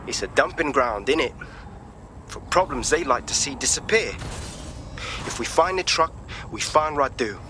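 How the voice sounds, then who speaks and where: A man's voice: medium pitch, animated, normal speed, pauses often, noisy background, lightly acted. A man playing a worried, streetwise resistance member with a London accent in a video game, slangy and urgent. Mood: cynical. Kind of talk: game cutscene voice acting.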